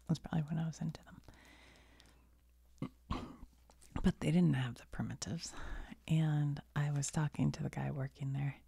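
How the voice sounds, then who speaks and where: Gravelly, breathy whispering middle-aged woman. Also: deep voice, no room sound. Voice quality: soft unvoiced whisper, rambling asides. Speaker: video creator. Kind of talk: whispered ASMR storytelling.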